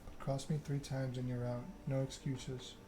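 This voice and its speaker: Man voicing a bored, monotone vampire enforcer. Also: medium pitch, moderate pace, lightly acted. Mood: calm menace. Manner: calm, slightly bored monotone. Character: tall suave male vampire enforcer, calm, bored, menacing. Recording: clear background ambience noise.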